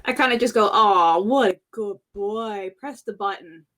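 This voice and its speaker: Bright, forceful woman player. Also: mid-range voice, moderate pace. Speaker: roleplay game player. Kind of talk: casual tabletop roleplay.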